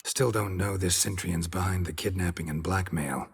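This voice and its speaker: A man playing a calm, world-weary monster hunter in a video game, with a gravelly voice and terse, deadpan delivery. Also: low-pitched, normal speed, full character voice. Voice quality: gravelly, husky.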